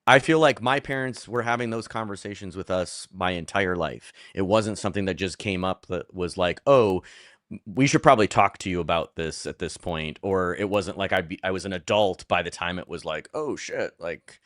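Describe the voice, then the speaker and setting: Low-voiced movie podcast co-host, first language Polish, rambling, dry close mic. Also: male voice, moderate pace, fairly bright tone, dry recording. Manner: rambling, many restarts and fillers. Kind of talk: casual chat about movies.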